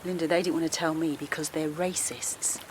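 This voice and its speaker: A woman's voice: deep voice, steady tone, a little fast, a little mellow, a little hiss or hum, lightly acted. An actress playing an outspoken, principled woman activist in an audio drama, challenging and indignant, asking pointed questions. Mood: incredulous, indignant. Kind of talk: naturalistic audio drama acting.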